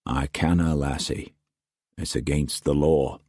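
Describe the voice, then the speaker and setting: A man voicing a grave, weary merchant with a Scottish accent, speaking low and measured. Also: steady tone, darkish tone, husky, no echo, studio quality, full character voice.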